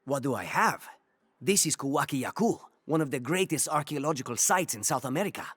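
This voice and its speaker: A jumpy, defensive trader. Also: male voice, mid-range voice, animated, a little fast, fairly bright tone, husky, no echo, studio quality. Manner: jumpy, defensive, then salesy. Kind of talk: video game cutscene acting.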